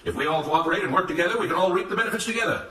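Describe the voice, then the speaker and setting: A self-righteous plantation overseer from a storybook, acted out by a male narrator, declaims emphatic speeches. The voice is raised high and bright, with an orator's force. It sounds slightly distant in a roomy space. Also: animated, racing. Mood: grand, triumphant.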